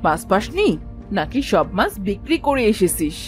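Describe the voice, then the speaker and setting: A woman playing a harsh village aunt in an animated moral story, speaking in a sharp, bossy, accusing manner. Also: medium pitch, normal speed, soft background music, natural voice. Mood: angry accusing.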